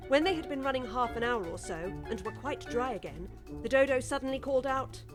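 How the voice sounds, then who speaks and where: A woman's voice: high voice, lively intonation, brisk, over music. A woman narrator reading a scripted children's audiobook story.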